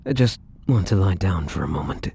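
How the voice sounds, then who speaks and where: A man playing a tender, devoted, battle-weary vampire lover in an intimate ASMR roleplay, speaking softly and breathily with gentle, hesitant, affectionate pauses. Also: deep voice, lively intonation, a little hiss or hum. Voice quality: soft, breathy, husky.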